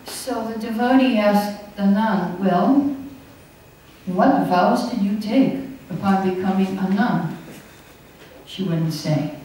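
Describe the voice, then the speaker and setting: Older woman, class speaker, mellow tone, chatty storytelling, roomy hall, heard from a distance. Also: mid-range voice, slow, slight background noise. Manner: chatty, anecdotal, laughs often while teaching. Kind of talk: scripture class lecture. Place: hall, indoors. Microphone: podium mic on stand. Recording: echoey hall, recorded from a distance.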